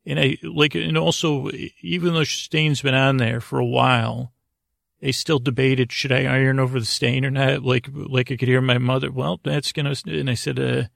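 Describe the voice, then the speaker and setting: A man hosting a bedtime podcast in a rambling monologue, meandering and halting as he interrupts himself and repeats words. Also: medium pitch, vocal fry, no room sound, clean studio sound. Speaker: podcast host. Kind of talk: rambling bedtime monologue to help listeners sleep.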